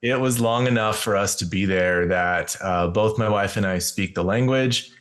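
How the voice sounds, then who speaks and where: A man in his fifties from Colorado, a counselor, answering interview questions in a measured way with filler pauses. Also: fairly low pitch, a bit bright. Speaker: counselor, podcast guest.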